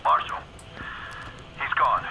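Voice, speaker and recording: A man's voice: slow, background noise, lightly acted. An actor playing a tough, dry male field operative in a video game, reporting in a terse, matter-of-fact way. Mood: grim, matter-of-fact. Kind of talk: video game cutscene acting.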